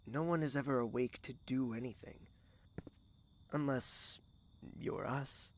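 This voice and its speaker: A man playing a courteous, regal young prince in love in an audio drama, gentle and formal, with the odd French phrase. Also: mid-range voice, measured pace, lightly acted. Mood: reflective, teasing.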